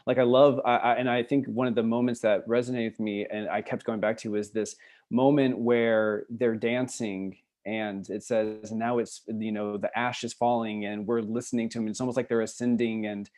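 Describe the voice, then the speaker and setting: A man speaking as a theater designer on a casual panel, explaining his thoughts in a halting, considered way. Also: fairly low pitch, little pitch movement, a little mellow.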